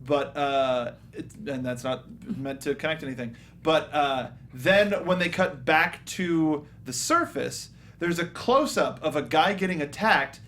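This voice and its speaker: A man's voice: a little high, a little slow, hardly any pauses, sharp tone. A man co-hosting a review show, chatting casually in a jokey, sarcastic way with a panel. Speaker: co-host of a movie review show.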